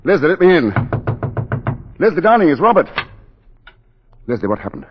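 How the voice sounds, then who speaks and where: An actor in a 1940s radio drama playing an anxious, devoted plantation husband, urgent and pleading. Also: male voice, a little high, very animated, moderate pace. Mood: urgent, worried. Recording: muffled 1940s radio recording with music.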